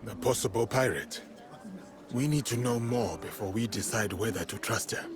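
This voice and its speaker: A man playing a stern, wary samurai in a video game, speaking in a measured, serious manner. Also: lowish voice, natural voice. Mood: suspicious. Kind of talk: dramatic game voice acting. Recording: loud music behind.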